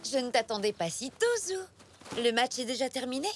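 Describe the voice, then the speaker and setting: A woman playing a gentle, friendly mother zebra in a children's cartoon, with a soothing, kindly delivery. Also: fairly high pitch, animated, fairly quick, soft background music, natural voice.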